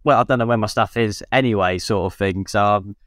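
A man's voice: fairly low pitch, brisk, a bit bright, dry recording, studio quality. A man hosting a podcast in casual, matey, self-deprecating chat. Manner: matey, self-deprecating, often adds "mate". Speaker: podcast host, racing driver.

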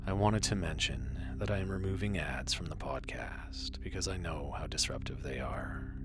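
A man speaking calmly and softly as the host of a sleep podcast. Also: very deep voice, moderate pace. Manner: calm, hushed, soothing. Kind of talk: calm spoken podcast introduction for sleep. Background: music.

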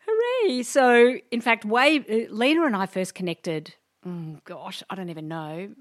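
A woman's voice: medium pitch, expressive, unhurried, dry recording, clean studio sound. A woman with an Australian accent hosting a podcast, chatty and upbeat as she introduces her guest. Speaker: podcast host. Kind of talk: podcast interview.

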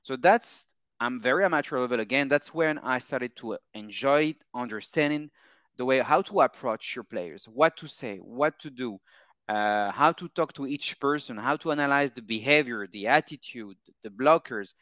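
A man's voice: medium pitch, moderate pace, a bit bright, dry recording. A French man in his thirties, a mental coach, answering interview questions with frequent fillers and repeated phrases. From France. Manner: hesitant, repeats words and phrases, many fillers.

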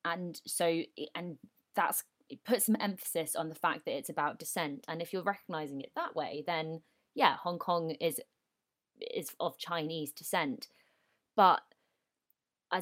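A young woman co-hosting a casual interview, chatty and a little hesitant, restarting sentences and breaking into excited bursts. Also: low voice. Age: young adult. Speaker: podcast co-host.

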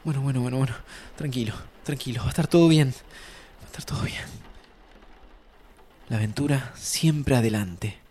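A man's voice: mid-range voice, normal speed, pauses often, mellow, close to an everyday voice. A man playing a tender Argentine time traveler. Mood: shaken. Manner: affectionate, laughing, soothing. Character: warm young male time traveler saying goodbye. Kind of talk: audio drama acting.

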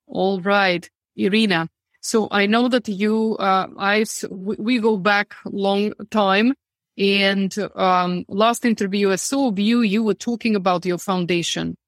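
A woman's voice: medium pitch, fairly even intonation, unhurried, no room sound, studio quality. A woman hosting and moderating a panel, speaking enthusiastically.

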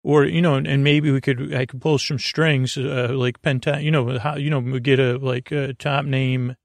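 Creaky-voiced man rambling at bedtime. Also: medium pitch, little pitch movement, no echo. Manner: meandering, halting, repeats and trails off into tangents; whimsical, gently silly wordplay. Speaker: host of a bedtime sleep podcast. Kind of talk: rambling improvised bedtime monologue.

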